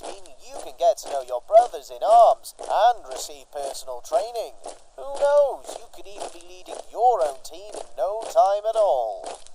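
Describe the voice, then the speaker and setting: Very high, mellow man announcing, noisy recording. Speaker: show announcer. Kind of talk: scripted mock advert announcing.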